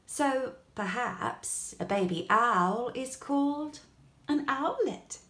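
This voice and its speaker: A woman plays a childlike, curious dog from a bedtime storybook. The delivery is bright-eyed and pleased with each discovery, with swooping, animated pitch. It is unhurried and sounds in an echoey room. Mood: curious, delighted. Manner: inquisitive, pleased with discoveries, expressive. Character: Kipper, a friendly curious childlike male puppy-dog.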